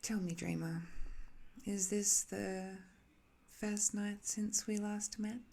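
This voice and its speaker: A woman playing an ancient imprisoned villainess in an intimate ASMR roleplay, haughty yet infatuated, speaking softly with condescending teasing and tender, hesitant pauses. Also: medium pitch, fairly even intonation, creaky. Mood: wistful, fond.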